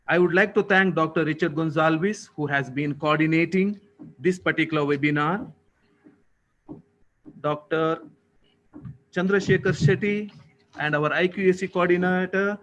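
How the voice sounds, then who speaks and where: A man with an Indian accent giving a formal, prepared thank-you speech as a college official, with deliberate, ceremonious and courteous phrasing. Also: on the high side, lo-fi sound, slight background noise.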